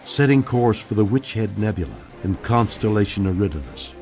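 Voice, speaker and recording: A man voicing a calm male starship assistant that reports each command in short, measured phrases. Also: fairly low pitch, soft background music. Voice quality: clipped, measured delivery. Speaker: voice of ship assistant.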